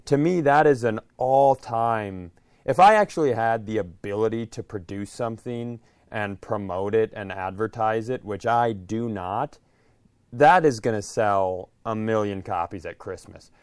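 Podcast co-host: American male, smooth clear mid-range voice, casual comic banter, dry studio-close sound. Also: fairly low pitch, unhurried, a little mellow, no room sound.

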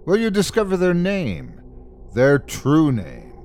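A man hosting a horror podcast, reading a scripted introduction with theatrical, deliberate emphasis. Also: a little high, wildly swooping pitch, darkish tone, slight background noise. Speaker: podcast host.

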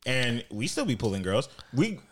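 Joking co-host, man from Haiti, lively up-and-down delivery. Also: medium pitch, moderate pace, a little hiss or hum. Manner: joking, teasing banter. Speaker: podcast co-host. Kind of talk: casual chat.

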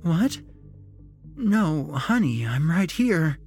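A man's voice: on the high side, sing-song, extremely slow, darkish tone, faint music behind, pitched above the performer's own voice, heavily acted. A man voicing an anguished, guilt-ridden middle-aged mother in an audiobook, blubbering and tearful. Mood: tearful, guilty.

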